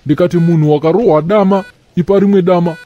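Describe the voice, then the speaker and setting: A man acts as a preachy Zimbabwean church elder in an animated comedy. His mellow voice sits a little high and moves quickly. He sermonizes with indignant, sanctimonious airs. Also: dull audio, lightly acted.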